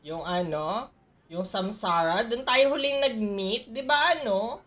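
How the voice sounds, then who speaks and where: A woman's voice: medium pitch, animated, unhurried, a bit bright. A woman with a Filipino accent chatting casually as a game livestreamer, switching between languages and greeting viewers. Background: game music.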